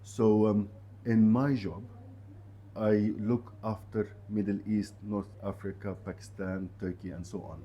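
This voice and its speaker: A man whose first language is Arabic, a university representative giving conversational advice to students in an interview, speaking haltingly and repeating short phrases for emphasis. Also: lowish voice, level tone, warm tone, muffled recording, slight background noise.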